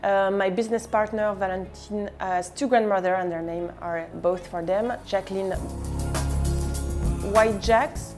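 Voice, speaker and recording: Woman owner talking, halting and hesitant. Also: mid-range voice, fairly even intonation. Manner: halting, with many fillers. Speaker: café owner. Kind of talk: casual interview. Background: background music.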